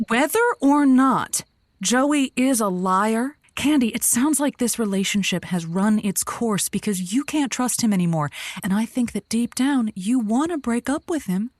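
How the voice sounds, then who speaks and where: Female radio advice host: frank, patient, reactive, animated pitch, conversational, dry recording. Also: unhurried, no echo. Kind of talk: improvised comedy call-in radio show.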